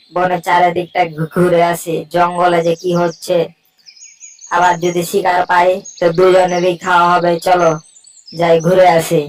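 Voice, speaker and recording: A friendly-sounding but selfish tiger from a cartoon fable, acted by a woman. The voice sits lowish with little melody, coaxing and measured. There is slight background noise. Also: natural voice, poor audio. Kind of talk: cartoon character voice acting.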